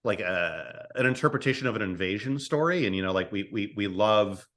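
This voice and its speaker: A man's voice: fairly low pitch, animated, fairly quick, fairly bright tone. A man speaking as an interview guest and writer, casually discussing creative work, often repeating and restarting his phrases.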